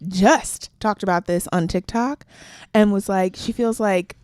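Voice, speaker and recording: A soft-spoken young woman co-hosting a podcast, telling stories in a casual chat and acting out quoted voices. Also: mid-range voice, unhurried, no echo, studio quality. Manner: animated storytelling with quoted voices. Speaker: podcast co-host.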